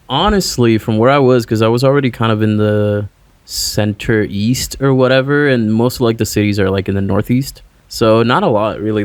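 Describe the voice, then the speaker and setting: Young male musician from Puerto Rico, loose rambling interview talk, slightly low, dry. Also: no room sound. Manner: loose, rambling, heavy on "like" fillers. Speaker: musician guest. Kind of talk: casual interview chat.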